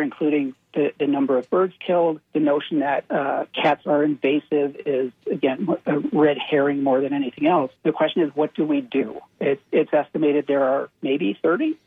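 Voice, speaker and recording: Husky man on a tinny phone line. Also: on the high side, no echo. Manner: earnest, repeats words as he restarts. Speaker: interview guest, animal welfare analyst. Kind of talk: phone-in radio interview.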